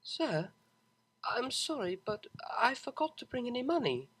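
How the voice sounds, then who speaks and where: Casting: woman as a genteel young cartoon-pony daughter, high bright voice, distressed and emphatic. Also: very animated, unhurried, husky, exaggerated voice. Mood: subdued, polite. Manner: pleading, stammering, emphatic.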